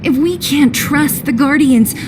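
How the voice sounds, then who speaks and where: A woman's voice: high voice, measured pace, noisy background. An actress playing a blunt, protective young woman in a dramatic game cutscene, speaking frankly and with feeling. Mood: distressed. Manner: frank, emotional, plain-spoken. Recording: orchestral music behind the voice.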